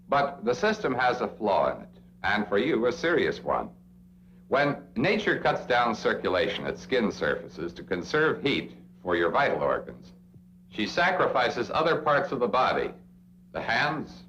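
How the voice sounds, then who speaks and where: A man's voice: medium pitch, a bit bright. An American man narrating a 1960s instructional film, speaking straight to the listener with emphatic phrasing and rhetorical questions. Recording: dull, noisy old film soundtrack with hum.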